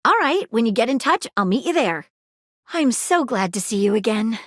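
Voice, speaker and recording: An earnest detective object in a dating game. She talks in formal, precise phrases with a lively, swinging pitch a little above the usual. The sound is close and dry. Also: moderate pace, dry recording. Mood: warm. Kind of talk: game dialogue clips. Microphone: studio booth mic.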